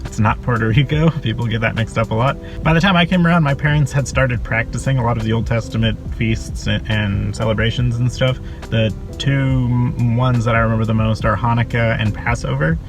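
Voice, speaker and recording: A young man from Costa Rica, casually telling a personal story in a relaxed way with fillers and soft laughs. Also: mid-range voice, a little fast, few pauses. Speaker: interviewee. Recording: interview with background music. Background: music.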